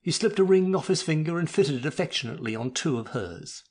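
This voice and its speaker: A man's voice: fairly high pitch, lively intonation, brisk, a little mellow. A man narrating a story expressively, with a theatrical, mock-melodramatic delivery.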